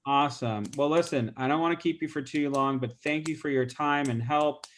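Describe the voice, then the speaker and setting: A real estate agent with a stuffy nose, casually walking through a call script with lots of fillers. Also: male voice, fairly high pitch, fairly quick, a bit bright. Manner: casual, chatty, many fillers. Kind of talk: role-play training demo.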